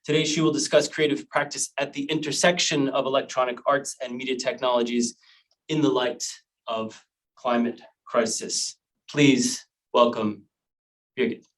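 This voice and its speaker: A man of middle pitch chairs an online panel and introduces its speakers in a measured, formal way. His voice comes from some distance away from the microphone over a video call. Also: little pitch movement. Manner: measured, formal speech with Māori greetings.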